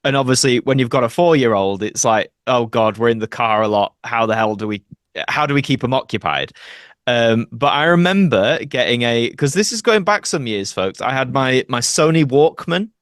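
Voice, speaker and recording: Young male podcast guest, mid-pitched voice in a very dry recording, chatty storyteller. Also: animated, normal speed, fairly bright tone, dry recording. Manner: chatty, anecdotal. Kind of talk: casual interview chat, reminiscing.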